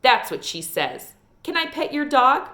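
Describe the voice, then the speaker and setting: A woman's voice: high-pitched, very animated, measured pace, bright, forceful tone, pitched above the performer's own voice, full character voice. A woman voicing an earnest, chatty five-year-old girl with childlike, folksy speech in a storybook reading. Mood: earnest, curious.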